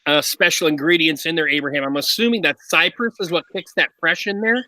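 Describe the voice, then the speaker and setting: A man's voice: fairly high pitch, a little fast, sharp tone, no room sound. A man hosting a casual review chat on a video call, enthusiastic, drawing out his phrases. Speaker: show host, reviewer.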